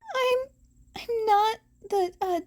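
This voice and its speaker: A very high-voiced, anxious teenage boy played by a woman in an audio fan story. He stammers and hesitates, sounding weighed down by guilt. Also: slow, darkish tone. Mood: nervous, tearful.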